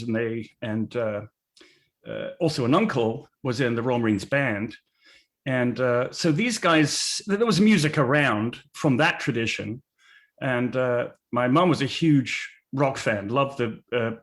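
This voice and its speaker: Man raised in australia and england, mid-range voice, reflective, halting, guest. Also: mid-range voice. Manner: reflective, halting, with many fillers. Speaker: musical co-creator, guest. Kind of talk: interview answers.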